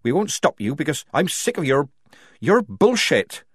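A commanding young woman squad leader who snaps and shouts, pitched higher than a plain voice, as a male narrator puts her on. Dry and close, in a lively audiobook reading. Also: normal speed, husky, no room sound, heavily acted. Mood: angry. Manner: commanding, snapping. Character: young woman soldier leading a squad, firm and sharp.

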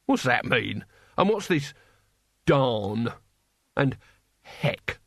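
A man's voice: medium pitch, sing-song, drawn-out words, a bit bright, dry recording, clean studio sound, heavily acted. A man voicing a grumpy, foul-tempered old barbarian in an audiobook, blunt and grudging. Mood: grudging, puzzled. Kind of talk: audiobook character acting.

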